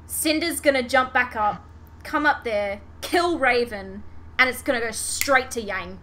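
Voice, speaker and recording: Woman podcaster chatting fandom stuff, bright forceful voice, bit of noise behind her. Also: on the high side, a little slow. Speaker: podcast host. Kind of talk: casual fan discussion.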